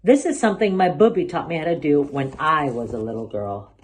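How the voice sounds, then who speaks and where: An affectionate older grandmother from a children's storybook, acted out by a woman reader. She teaches patiently and gently, and her pitch moves in lively, expressive swings. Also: brisk. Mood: tender. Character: warm, encouraging elderly grandmother and patient art teacher.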